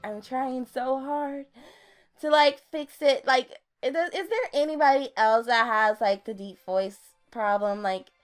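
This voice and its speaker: A young woman with a deep voice, recording a casual late-night game voiceover at home on a desktop mic, chatty and self-deprecating. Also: measured pace, clear, resonant tone, soft background music. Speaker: gaming YouTuber. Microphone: desktop USB mic.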